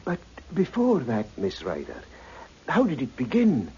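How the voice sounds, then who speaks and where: A man's voice: mid-range voice, huge pitch swings, unhurried, darkish tone. Swooping, probing man, muffled radio. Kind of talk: 1960s radio drama acting. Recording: dull, noisy old radio recording.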